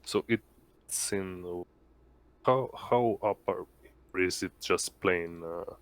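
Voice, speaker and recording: A man chatting as a player in a tabletop roleplaying game, halting and often questioning. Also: low voice, fairly bright tone, smooth, no echo, poor audio. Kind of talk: casual tabletop game chat.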